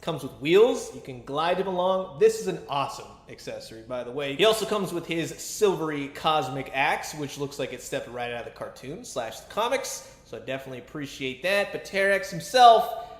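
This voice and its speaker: A man's voice: a little high, very animated, normal speed, reverberant. A man giving a casual, chatty toy review with a fan's enthusiasm and colloquial asides. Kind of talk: casual action figure review commentary.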